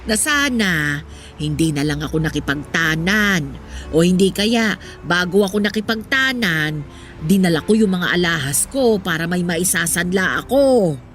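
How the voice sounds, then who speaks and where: A woman playing an old, poor Filipino mother in a radio drama, wistful and gently wise, speaking in an earnest, reflective way. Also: fairly low pitch, lively intonation, measured pace. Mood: rueful. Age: older. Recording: music behind the voice.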